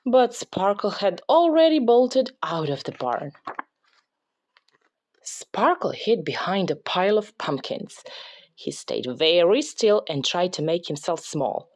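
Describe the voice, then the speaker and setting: A woman narrates a picture book for children. She takes her time, with very animated intonation and a dramatic touch. The sound is close and dry. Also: fairly low pitch, slow, no room sound. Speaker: storybook reader. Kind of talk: expressive children's read-aloud narration.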